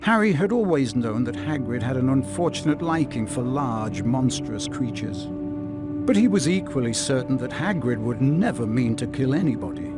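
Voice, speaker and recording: A man's voice: medium pitch, lively intonation, normal speed. British male narrator over music. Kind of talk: scripted storybook narration.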